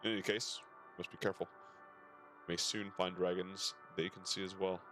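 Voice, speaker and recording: A man's voice: deep voice, little pitch movement, moderate pace, close to an everyday voice. A man reading aloud the formal game dialogue of a serious, cautious male fantasy warrior. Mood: serious, wary. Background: faint game music.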